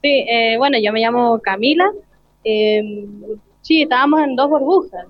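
A woman's voice: fairly high pitch, measured pace, no echo, muffled recording. A teenage young woman answering casually as a student in a live interview. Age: teens.

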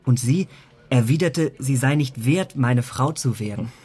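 An actor playing an ardent, impressionable young gentleman, newly engaged and rapturous, in a German radio drama. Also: mid-range voice, unhurried, slightly rough. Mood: passionate. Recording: music playing behind the voice.

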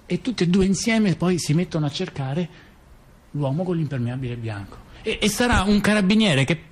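A man telling a story theatrically and conversationally, halting and repeating himself emphatically with ironic asides. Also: high voice, animated, slightly rough. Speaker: stage performer in a satirical dialogue.

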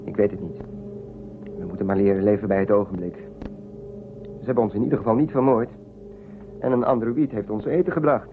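A man's voice: on the low side, steady tone, a little fast, pauses often, narrow, tinny audio, music in the background, close to an everyday voice. An actor playing a pragmatic, stoic male space castaway who reasons aloud in short, matter-of-fact statements in a radio play. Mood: resigned, questioning. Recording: phone-thin radio drama audio, clear noise.

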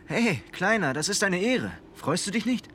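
An excitable young male sailor, caring toward his mate. The voice is fairly high and swings widely, off-mic amid clear sea noise in a radio play. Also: moderate pace. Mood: teasing, caring. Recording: off-mic over loud sea ambience.

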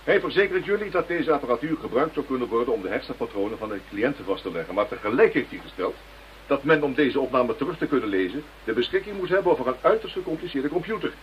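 An actor playing a skeptical senior police commissioner in a radio drama, brisk and dryly sarcastic. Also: male voice, medium pitch, lively intonation, slight background noise, lightly acted. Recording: dull lo-fi radio drama recording.

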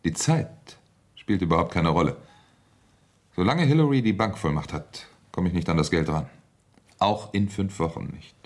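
An actor playing a smooth, evasive husband who schemes over money in a radio crime drama. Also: male voice, low-pitched, animated, moderate pace, pauses often. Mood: tense, scheming.

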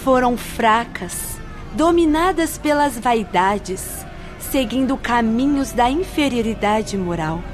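A woman playing a spirit nun who guides visitors, speaking in a grave, instructive, measured way in a radio drama. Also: a little high, expressive. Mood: solemn. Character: solemn nun spirit, stern female guide. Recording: music bed under voice.

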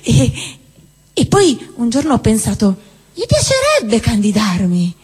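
High, lively woman on a theatre stage. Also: unhurried, slightly rough, in a large hall. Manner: chatty, teasing, bursts into excited shrieks. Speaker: stage actor. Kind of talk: live theatre dialogue.

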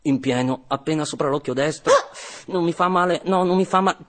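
A man's voice: on the high side, fairly quick, a bit bright, a little hiss or hum, close to an everyday voice. An actor playing an Italian man who relives his 1980s childhood in a fragmented, vivid radio monologue. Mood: tense, vivid. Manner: fragmented, repetitive, vivid present-tense storytelling. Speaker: actor and narrator. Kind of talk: dramatic first-person radio monologue.

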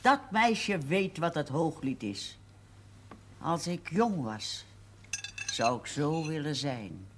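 Slow, solemn old pious aunt. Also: female voice, low-pitched, lively intonation. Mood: aggrieved. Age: 80s. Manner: solemn, declamatory reciting of Bible verses. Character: pious old spinster aunt, sardonic scripture-quoting, misunderstood. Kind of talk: television drama acting. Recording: faintly noisy 1970s television soundtrack.

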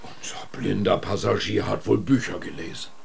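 An actor playing a stern, sarcastic polar expedition commander in a radio drama, with a rough, commanding voice. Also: male voice, low voice, normal speed, a little hiss or hum. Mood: scornful.

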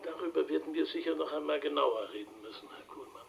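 A fairly high-pitched, creaky younger man, a journalist in a radio play. He is polite and measured but keeps probing, with audible room noise around him. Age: young adult. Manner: measured, persistent questioning. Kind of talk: radio drama dialogue.

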